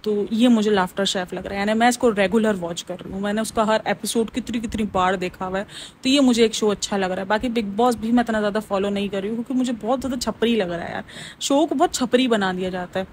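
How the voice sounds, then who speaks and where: A woman's voice: medium pitch, fast, slight background noise. A woman giving casual, opinionated gossip commentary as a vlogger, talking straight to her viewers. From Pakistan. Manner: chatty, opinionated, keeps calling listeners "bhai". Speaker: vlogger reviewing celebrity vlogs and TV shows.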